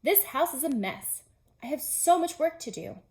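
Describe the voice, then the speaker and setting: A woman's voice: sits high, expressive, moderate pace, a little mellow, pitched above the performer's own voice. A woman voicing a male toad from a children's storybook, a grumbling homebody who complains in a dramatic, whiny way. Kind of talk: children's storybook character reading.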